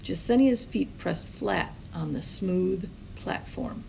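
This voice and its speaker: A woman with a creaky voice reading a children's story aloud expressively, as a storyteller. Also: mid-range voice, lively intonation, slow, darkish tone, a little hiss or hum, poor audio. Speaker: storybook reader.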